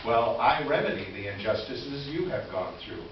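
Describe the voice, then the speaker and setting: A man playing an earnest, wronged store employee near retirement, reading a formal confessional letter in reader's theater with deliberate delivery. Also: medium pitch, animated, close to an everyday voice. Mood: earnest, aggrieved. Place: indoor live stage presentation. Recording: echoey room, distant mic, muffled, noisy.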